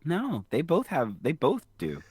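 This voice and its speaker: A man's voice: on the high side, huge pitch swings, unhurried, darkish tone, breathy edge, no echo. A man from the Southern US co-hosting a podcast, chatting casually and laughing often. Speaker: podcast co-host. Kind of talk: casual movie recap chat.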